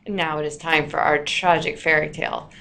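A woman with a slightly low voice narrates a lesson in the way of a teacher. She speaks deliberately, stressing key words as a storyteller would. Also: steady tone, fairly bright tone, clear, resonant tone. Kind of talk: scripted lesson narration for listeners.

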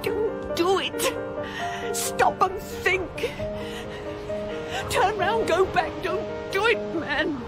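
A woman's voice: extremely high pitch, very slow, over loud music, full character voice. An actress playing an imperious older English noblewoman in a radio drama, formal, wry and insistent, with an English accent. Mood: desperate alarm.

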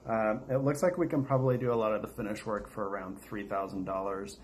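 Low-voiced American man vlogging over background noise. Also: fast. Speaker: vlog host. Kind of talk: casual chat to camera. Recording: steady noticeable background noise.

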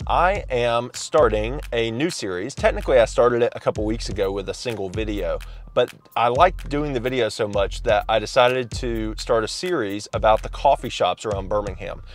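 Man, 30s, loud upbeat vlogger, phone at arm's length in a parked car. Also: mid-range voice, normal speed. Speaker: coffee shop reviewer. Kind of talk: casual vlog review. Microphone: phone camera at arm's length.

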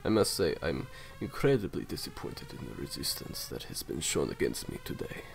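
A man's voice: lowish voice, huge pitch swings, a little fast, creaky, a little hiss or hum, full character voice. A man playing a menacing, cold villain in an audio roleplay, speaking in formal, theatrically polite threats. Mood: smug, courteous. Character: menacing, courteous male villain, cold captor. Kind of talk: roleplay audio drama monologue.

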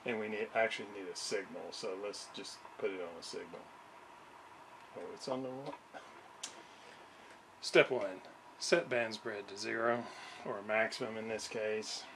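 A man giving a casual hands-on demonstration, haltingly thinking aloud as he works. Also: lowish voice, background noise. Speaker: radio restoration hobbyist presenter. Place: small indoor home workshop.

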